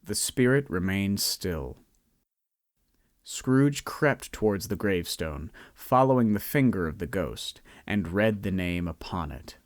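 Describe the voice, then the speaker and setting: A young man narrating a radio drama in a measured, storytelling style. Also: sits low, animated, no room sound, studio quality. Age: young adult.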